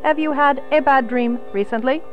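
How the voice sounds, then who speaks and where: A woman's voice: high-pitched, moderate pace, dull audio, soft background music, lightly acted. A woman acting in a radio play as a formal, insistent saleswoman and interrogator with stilted phrasing. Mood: probing. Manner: formal, stilted, avoiding contractions.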